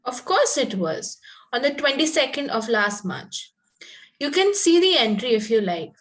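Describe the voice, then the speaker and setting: Woman playing a prim, high-voiced romantic Victorian young lady. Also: big pitch swings, normal speed. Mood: matter-of-fact. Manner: prim, earnest, stumbles over the script. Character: romantic, fanciful Victorian young lady, coy and self-assured. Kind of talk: amateur table read of a stage comedy.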